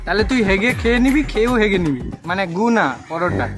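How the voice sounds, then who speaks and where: A man's voice: high-pitched, big pitch swings, brisk. A young man with a West Bengal accent making casual prank calls, cheeky and straight-faced in his insistence. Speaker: prank caller and video host. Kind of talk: casual prank phone call chat. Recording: loud music under the voice. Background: music.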